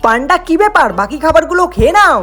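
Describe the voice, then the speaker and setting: A woman voicing all the characters of a cartoon story, playful and quick to switch between childlike figures. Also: high voice, very animated. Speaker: cartoon voice artist and channel narrator. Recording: over loud background music.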